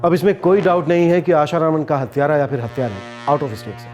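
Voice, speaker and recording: A man's voice: a little high, brisk, darkish tone, lo-fi sound, soft background music, close to an everyday voice. An actor playing a stern senior police officer who gives firm orders to his team. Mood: commanding. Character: stern adult male police chief.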